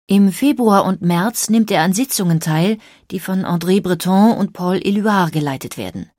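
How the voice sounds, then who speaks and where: Lowish female narrator, dry studio sound. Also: normal speed, a little mellow, no echo. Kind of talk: scripted documentary narration.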